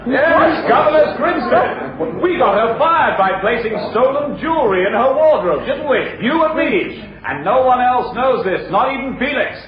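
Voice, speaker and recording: An actor playing a taunting, triumphant long-lost brother in a radio courtroom drama, emphatic and deliberate. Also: male voice, very high-pitched, lively intonation. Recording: dull, echoey courtroom with crowd.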